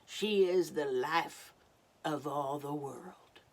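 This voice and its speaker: Old Turtle, slow solemn wise elder voiced by a woman. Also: deep voice, gravelly, heavily acted. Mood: solemn, reverent. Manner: solemn, deliberate, emphatic. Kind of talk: storybook read-aloud for children.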